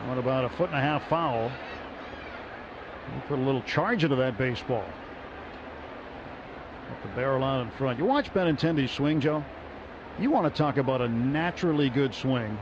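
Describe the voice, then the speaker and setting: A man calling baseball play-by-play on the radio from a ballpark booth, steady and rising sharply on big plays. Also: mid-range voice, normal speed, long pauses. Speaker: play-by-play announcer. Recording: muffled radio broadcast with crowd noise.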